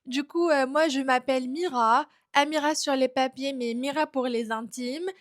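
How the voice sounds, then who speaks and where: High-voiced woman telling her story. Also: fairly even intonation, normal speed. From Algeria. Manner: chatty, personal storytelling. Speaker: fashion content creator. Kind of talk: casual vlog introduction.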